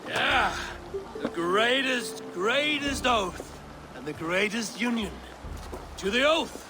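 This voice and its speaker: An actor playing a bold, blunt, hard-drinking Norse warrior in a video game, boastful and declaiming. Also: male voice, extremely high pitch, expressive, slow pace, noisy background, full character voice. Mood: drunken, grand. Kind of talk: dramatic video game acting.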